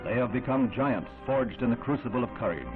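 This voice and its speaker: A man's voice: medium pitch, lively intonation, a little fast. An American man reads scripted 1960s newsreel narration in a solemn, dramatic, declamatory manner. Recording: tinny band-limited vintage newsreel, music behind.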